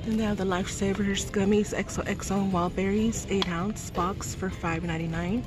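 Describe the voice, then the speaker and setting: A woman narrating as she films store shelves, reading out products and prices with soft laughs. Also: medium pitch, fairly even intonation, normal speed. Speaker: vlogger. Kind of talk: casual shop-with-me narration. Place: large store aisle. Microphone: phone held while filming. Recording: music overlay loud behind voice. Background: store noise and music.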